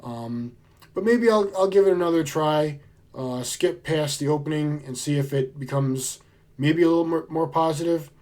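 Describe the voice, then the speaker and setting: A man with a somewhat high speaking voice, a little hoarse from a cold. He talks in a relaxed, chatty way and gets plainly excited about what he is showing. The tone is casual and friendly. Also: normal speed. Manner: enthusiastic, chatty, laid-back. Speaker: book vlogger. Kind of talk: casual book haul chat.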